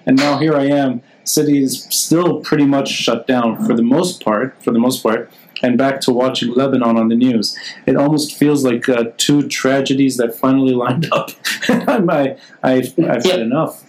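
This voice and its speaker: A man's voice: mid-range voice, steady tone, moderate pace, darkish tone, rough recording. A man hosting an unscripted live interview on a video call, talking informally and hesitantly, thinking aloud as he goes. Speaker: podcast host.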